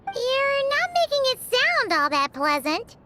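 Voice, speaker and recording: A woman's voice: very high voice, sing-song, measured pace, noisy background, exaggerated voice. A woman voicing a small, chirpy, curious fairy companion with a bubbly, exclamatory delivery in a video game cutscene.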